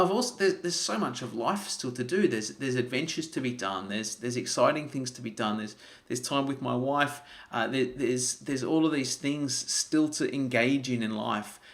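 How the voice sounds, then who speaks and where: Earnest man in his forties. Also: medium pitch. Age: 40s. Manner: earnest, repeats words, many restarts. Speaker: health vlogger. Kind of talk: live-streamed motivational talk.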